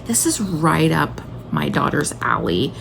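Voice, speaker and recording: A woman's voice: deep voice, big pitch swings, unhurried, vocal fry, music in the background. A woman in her thirties talking casually and enthusiastically, like a homeschooling mom recommending favorites on her vlog. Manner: enthusiastic, gushing. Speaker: homeschooling video blogger and mother.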